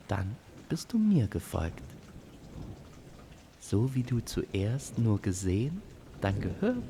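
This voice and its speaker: A man voicing a gentle, compassionate female nature spirit in a fantasy audio play, speaking softly and solemnly. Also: lowish voice, slight background noise, full character voice. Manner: soft, solemn, reassuring.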